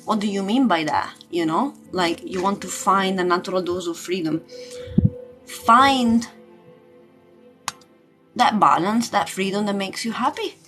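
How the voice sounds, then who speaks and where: A woman's voice: medium pitch, unhurried, long pauses. A woman giving a casual live tarot reading as a spiritual coach, searching for words and often repeating them. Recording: loud music under the voice. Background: music.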